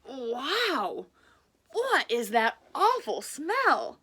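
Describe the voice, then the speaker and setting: A woman's voice: high voice, wildly swooping pitch, very slow, sharp tone, a little hiss or hum, exaggerated voice. A woman voicing an unimpressed, dryly dismissive cow in a storybook.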